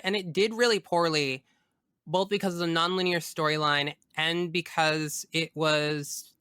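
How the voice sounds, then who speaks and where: A man with a fairly high, bright voice talks as a podcast guest in a casual, enthusiastic way. His delivery is effusive and emphatic, with a smooth, clear tone and a dry sound. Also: no echo. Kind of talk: casual enthusiastic chat about video games.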